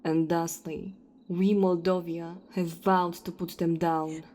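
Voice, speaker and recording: A woman playing a cold, ruthless vampire hunter in an audio roleplay, speaking with deliberate, taunting contempt. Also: on the low side, flat delivery, warm tone. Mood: dismissive, ruthless.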